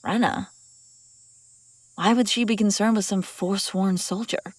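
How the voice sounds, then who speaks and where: A woman's voice: medium pitch, fairly even intonation, a little slow, dry recording, lightly acted. A woman playing a commanding, wry escaped princess in an audio roleplay, speaking dramatically and expressively straight to the listener. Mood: puzzled, probing. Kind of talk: immersive audio roleplay acting, one side of a dialogue.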